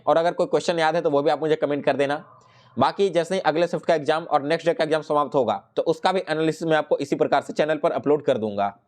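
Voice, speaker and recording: A man giving an explanatory exam review as a teacher, addressing students directly and mixing in English terms. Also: fairly high pitch. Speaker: exam-prep teacher and channel host.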